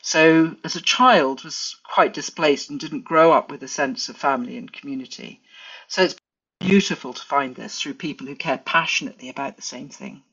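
English woman guest, musing and unhurried, muffled lo-fi recording. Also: low-pitched, a bit bright, slightly rough. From England. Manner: thoughtful, many fillers and restarts. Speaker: guest forager. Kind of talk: casual interview chat.

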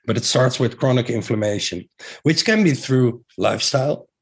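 A man's voice: mid-range voice, expressive, normal speed, gravelly, husky. A middle-aged man with a strong Dutch accent, a guest talking about his breathing work in an eager, rambling way with many restarts. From Netherlands. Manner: enthusiastic, with frequent restarts and fillers. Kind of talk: casual interview talk.

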